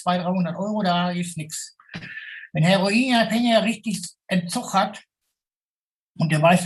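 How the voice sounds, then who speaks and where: A man's voice: sits high, low-quality recording. A middle-aged man from the Ruhr area, a city guide, talking candidly in blunt, colloquial language. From Ruhr area, Germany. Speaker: city tour guide, interviewee. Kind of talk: casual, candid spoken account.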